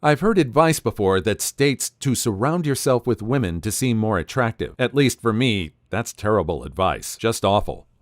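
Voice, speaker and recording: A man narrating reader stories aloud in a wry, animated way. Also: lowish voice, normal speed, hardly any pauses, dry recording, clean studio sound. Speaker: narrator reading online posts.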